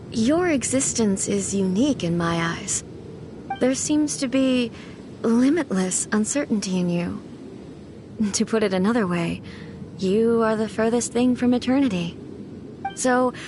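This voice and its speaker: A woman playing a serene, haughty immortal ruler devoted to eternity, speaking formal, measured lines in a video game. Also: a little high, expressive, noisy background. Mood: calm, solemn. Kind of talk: scripted video game dialogue.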